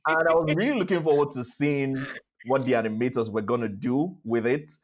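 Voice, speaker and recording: A woman's voice: very deep voice, fairly quick. A woman chatting casually as a panel guest and voice actor, playfully acting out lines she quotes. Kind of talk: casual, animated anecdote.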